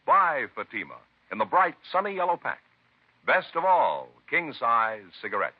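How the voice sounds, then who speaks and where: A man announcing in a scripted 1950s radio style, deliberate and emphatic. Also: medium pitch, big pitch swings, heavy background noise. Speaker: radio announcer and cigarette pitchman. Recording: muffled old radio recording. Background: music.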